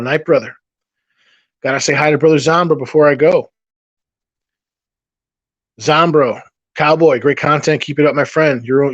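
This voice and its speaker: A man chats on a late-night livestream, working through viewers' messages in a rambling way, often restarting and repeating his sentences. His voice sits a bit high for a man and sounds worn, as if he is losing it after hours of talking. The sound is dry and close, with no room around it. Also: fairly bright tone, no room sound, dull audio. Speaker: livestream host reading chat.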